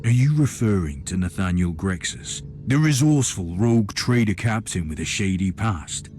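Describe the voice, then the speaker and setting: An actor playing a stern, zealous imperial inquisitor in a video game, speaking in a formal, righteous, declamatory way. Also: male voice, on the low side, lively intonation, normal speed, close to an everyday voice. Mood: stern, measured. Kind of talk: video game cutscene dialogue. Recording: loud music behind the voice. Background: loud game music.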